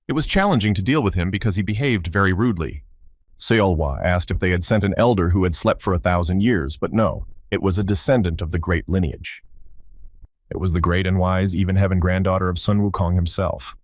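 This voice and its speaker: A man reading a scripted story recap in a steady read-aloud way. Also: low voice, dry recording, studio quality. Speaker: recap narrator.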